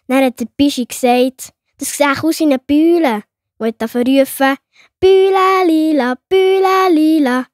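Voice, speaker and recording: A woman's voice: high-pitched, dry recording, studio quality, lightly acted. A woman playing a sensitive young schoolgirl in a children's audio drama, childlike, with a Swiss German accent. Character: sensitive young schoolgirl, easily hurt, trusting daughter.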